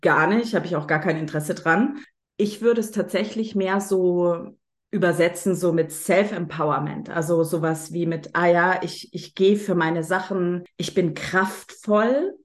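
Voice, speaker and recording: A woman with a slightly low voice who muses aloud in a group. She talks in an easygoing, reflective way. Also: unhurried. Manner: relaxed and reflective, quoting her own thoughts. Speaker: participant. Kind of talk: casual group sharing.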